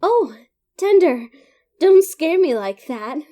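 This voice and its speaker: A woman's voice: very high voice, sing-song, slow, warm tone, exaggerated voice. A woman voicing a friendly, easily startled cartoon pony nurse in an audio story, sweet and fussing. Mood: startled, relieved. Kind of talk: dramatic fan fiction audio reading.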